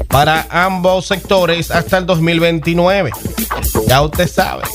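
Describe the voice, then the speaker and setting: A man hosts a radio talk show, joking with his co-hosts in a slightly high voice. He slows down and weighs his words when he reads out news or makes a point, and faint music plays underneath. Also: a bit bright. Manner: teasing, then slow and deliberate when reading or lecturing. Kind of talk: casual studio banter.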